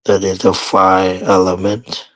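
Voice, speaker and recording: A man teaching guided meditation in short, measured phrases with long pauses between them. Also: low voice, completely flat delivery, a bit bright.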